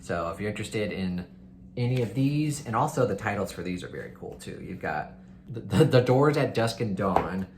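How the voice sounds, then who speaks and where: A man's voice: fairly low pitch, animated, normal speed, background noise. A man chatting casually and enthusiastically to viewers as a book reviewer, in an informal, conversational way. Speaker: book reviewer hosting a readalong. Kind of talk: casual chat to viewers, recommending books.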